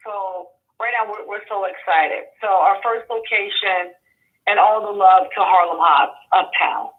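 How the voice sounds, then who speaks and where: A woman's voice: medium pitch, steady tone, measured pace. A woman who founded a brewery, talking upbeat and enthusiastic as an interview guest, often repeating words. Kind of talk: casual promotional interview. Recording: tinny phone line.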